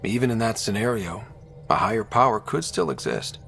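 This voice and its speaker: An actor playing a young, easygoing and wry explorer hero in a video game, with a husky voice. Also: male voice, lowish voice, fairly quick, a bit bright, big-room sound, soft background music. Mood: gentle, reassuring.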